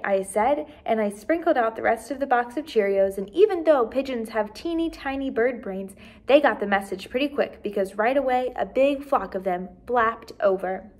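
Female teacher, twenties, expressive storybook read-aloud, lightly high-pitched. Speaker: teacher reading aloud to first graders. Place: small decorated indoor room.

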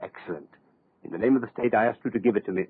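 Casting: grandiose villain plotting to rule, low husky voice, soft menace, 1930s radio melodrama. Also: male voice, rapid-fire, no room sound. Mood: condescending. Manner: pompous, menacing, soft-spoken oratory. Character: suave, power-hungry male dictator-in-waiting, grandiose schemer. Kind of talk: 1930s radio horror acting. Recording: muffled old 1930s radio recording.

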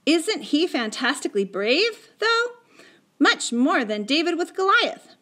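A woman's voice: on the high side, expressive, slow, a bit bright. A woman voicing a stubborn, eager young boy in an audiobook, insistent and impulsive. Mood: thrilled. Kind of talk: acted audiobook dialogue.

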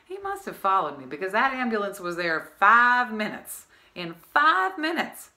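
A woman's voice: medium pitch, wildly swooping pitch, moderate pace, sharp tone, exaggerated voice. A woman acting a scatterbrained, self-deluded nightclub singer in a comic stage monologue, rambling with boastful, paranoid insistence. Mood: agitated, self-assured.

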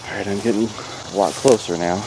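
Low-voiced Kentucky man exploring outdoors. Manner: slow, hesitant, with fillers. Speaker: urban explorer vlogger. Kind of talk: casual vlog narration. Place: outdoors in overgrown rural field and woods. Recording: outdoor camera audio with clear background noise.

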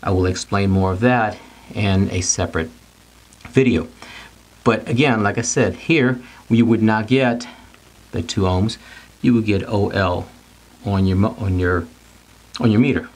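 Low-voiced man teaching step by step. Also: unhurried, pauses often, poor audio. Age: middle-aged. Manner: plain-spoken, repeats and rephrases points step by step. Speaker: technical instructor. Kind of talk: whiteboard lesson, informal explanation.

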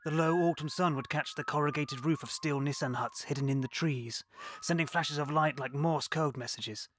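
An actor playing an older man recalling his wartime childhood, narrating in a measured, reflective, lyrical way. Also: fairly high pitch, fairly bright tone, natural voice. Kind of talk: scripted first-person narration. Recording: clear ambient noise behind voice.

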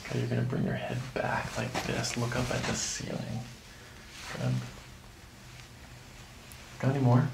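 A man's voice: mid-range voice, little pitch movement, unhurried, vocal fry, long pauses, very noisy. A middle-aged man working as a chiropractor, coaching a patient through treatment in short, reassuring ASMR-style instructions. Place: small carpeted treatment office, indoors. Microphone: microphone on boom stand nearby.

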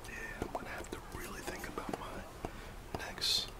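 A man's voice: normal speed, distant mic. A middle-aged American man in a whispered ASMR roleplay, confiding like a disgruntled coworker in colloquial speech. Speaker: ASMR roleplayer playing a gossiping office employee.

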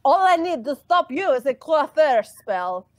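Woman putting on a scheming high-voiced duck sorceress. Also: very animated, measured pace. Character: scheming female duck sorceress, villainous and boastful. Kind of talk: comic dub live reading.

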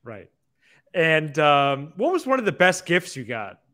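A young man hosts a baseball podcast with a bright, forward voice pitched a little high. He lays out his analysis casually, point by point, close to a desk microphone. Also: wildly swooping pitch, a little slow. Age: young adult. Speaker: sports podcast host. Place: small indoor room, video call.

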